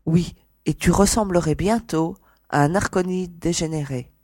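Man as a high-voiced young woman mercenary. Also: normal speed, a little mellow, dry recording, lightly acted. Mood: teasing. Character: young woman mercenary, brisk, tough, commanding. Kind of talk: audio drama science fiction acting.

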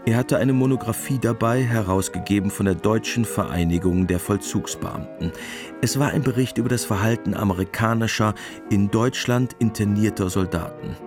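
Deep-voiced male radio play narrator. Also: normal speed, over music.

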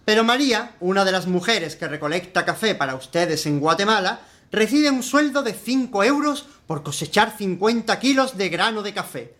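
A man's voice: high voice, lively intonation, moderate pace, few pauses, bright, forceful tone. A man plays a supermarket boss from a satirical radio play. He is smugly polite and formal, with menace under the courtesy. His manner is sardonic. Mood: smug, mock-courteous. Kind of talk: satirical radio drama acting.

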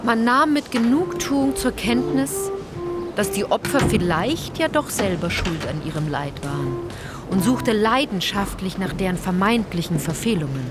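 An actress as a sober, morally pointed narrator in a scripted radio play. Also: female voice, mid-range voice, animated, a little slow, lightly acted. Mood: sober, reproachful. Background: prominent music.

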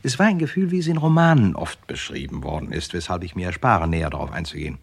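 Lively, husky, wry crime-story narrator. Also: male voice, sits low, quick pace, exaggerated voice. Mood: wry storytelling. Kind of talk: first-person radio crime drama narration.

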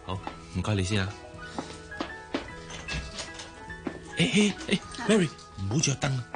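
A man's voice: mid-range voice, sing-song, quick pace, music in the background. A man with a Hong Kong Cantonese accent acting in a radio drama as a worried, exasperated father and boss who sighs as he talks. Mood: anxious, hushed. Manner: sighing, exasperated.

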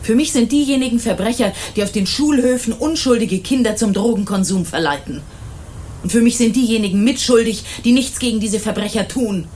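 A woman's voice: fairly high pitch, brisk, a little mellow, natural voice. A middle-aged woman acting an indignant, self-righteous mother in a German radio crime drama, with a stern, emphatic delivery. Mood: indignant, defiant. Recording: 1980s radio drama with faint noise.

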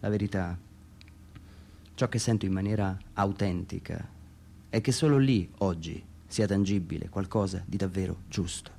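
A man reading his own literary text as a radio theatre monologue, introspective and halting, with pauses between short phrases. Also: low-pitched, gravelly, warm tone, slight background noise.

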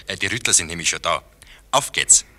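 A man's voice: sits low, animated, a little fast, pushed and bright, heavily acted. An actor playing a hearty, plucky construction signalman in a radio drama. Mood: delighted, hearty.

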